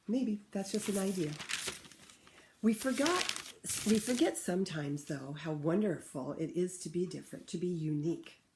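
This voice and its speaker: A woman reading a picture book aloud to children in an expressive, teacherly way, pausing to ask them questions. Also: fairly low pitch, background noise. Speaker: read-aloud host for children. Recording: roomy, echoing room sound.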